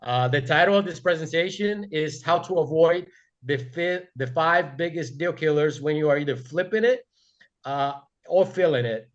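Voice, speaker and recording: A passionate man presents to a group over a video call, his voice a bit high for a man. The audio sounds slightly dull and lo-fi, and he leans on uh fillers. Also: moderate pace. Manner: passionate, self-deprecating, uh fillers. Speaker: guest presenter.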